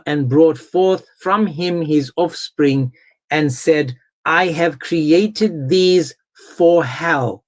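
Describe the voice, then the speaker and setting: A man with a fairly high voice hosts a live online religious debate. He is confrontational and insistent, pressing his points with firm emphasis. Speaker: debate host.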